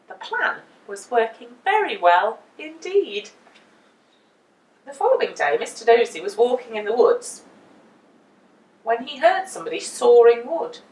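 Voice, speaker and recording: A woman reads a children's storybook with dramatic emphasis and swooping intonation. Her delivery is slow and careful, and she is heard in an echoey room. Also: fairly high pitch. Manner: animated, deliberate, dramatic emphasis. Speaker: storyteller reading a children's book. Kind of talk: expressive storytime narration for children. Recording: roomy echo, distant microphone.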